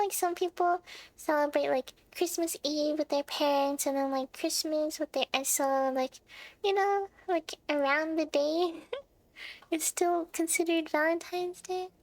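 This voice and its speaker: High-voiced young woman chatting on stream. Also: dry recording. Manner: playful, chatty. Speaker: game streamer. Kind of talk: casual live stream chat reacting to a game and viewers. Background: game music.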